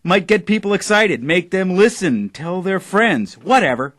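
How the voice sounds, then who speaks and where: An actor playing a pushy, sardonic boss of a struggling internet radio company in an audio drama. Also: male voice, high-pitched, animated, measured pace, fairly bright tone, heavily acted. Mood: dryly sarcastic.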